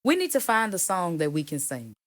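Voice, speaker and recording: A woman singer and songwriter with a deep voice, chatting as a guest in an animated, emphatic, playfully teasing way. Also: brisk, over a noisy crowd. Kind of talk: casual interview chat.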